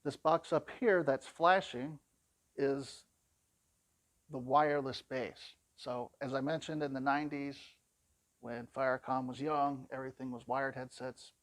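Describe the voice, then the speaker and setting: A man with a somewhat low voice talks through a product demonstration. He explains in plain, conversational language, informally and step by step. The sound is dry, with very little room in it. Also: fairly even intonation, measured pace, no echo.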